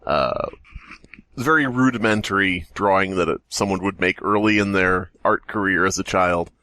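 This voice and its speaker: A man's voice: deep voice, moderate pace, bright, forceful tone, no room sound. Joking American man, very dry sound. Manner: joking, sarcastic. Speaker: podcast host. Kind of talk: casual chat.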